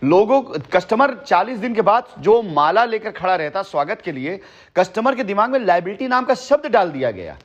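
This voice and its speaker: Man, fairly high voice, emphatic, repetitive, persuasive monologue, telephone call. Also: very animated, moderate pace. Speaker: company head addressing a customer by phone. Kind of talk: persuasive phone conversation, long monologues. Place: phone call recording.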